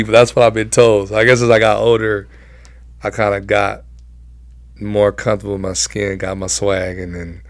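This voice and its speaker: A young American man with a low-set voice and plenty of vocal fry. He tells personal stories in a loose, slangy, conversational way as a guest, captured dry with little room sound. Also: dry recording. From Southern California, United States. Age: young adult. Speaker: interview guest. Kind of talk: casual personal storytelling.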